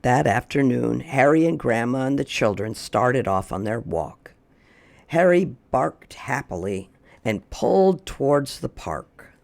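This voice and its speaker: A storyteller reading a children's picture book aloud in an expressive way, drawing out words for emphasis. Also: mid-range voice, gravelly. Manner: expressive, slow, drawn-out emphasis. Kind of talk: animated children's read-aloud.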